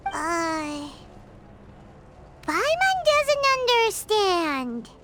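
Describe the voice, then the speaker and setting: Tiny childlike fairy sidekick, very high voice, swooping intonation, naive, chirpy, video game. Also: female voice, very animated, drawn-out words, heavy background noise, full character voice. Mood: puzzled. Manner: speaks of herself in third person, exclaiming.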